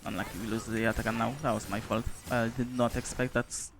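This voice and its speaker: A man's voice: mid-range voice, little pitch movement, a little fast, noisy background. A young man from Poland, a streamer giving casual, laid-back gameplay commentary, chatting and swearing now and then.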